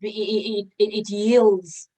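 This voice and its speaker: A woman's voice: mid-range voice, flat delivery, slow pace, dark tone. A woman hosting a conversational interview, engaged, restating points and putting questions. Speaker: interview host. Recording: voice distant from the mic.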